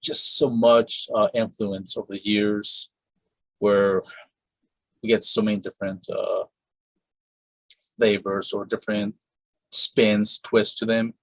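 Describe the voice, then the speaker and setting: Slow, low-voiced male podcast host. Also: flat delivery, no echo, rough recording. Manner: rambling, many fillers and restarts. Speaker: podcast host interviewing a voice actress. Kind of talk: casual interview chat.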